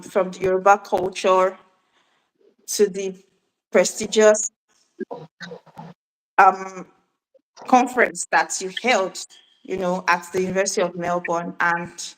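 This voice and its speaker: Woman host, unhurried delivery, halting with fillers and restarts, interview. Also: mid-range voice, fairly bright tone, poor audio. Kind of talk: interview introduction and questions.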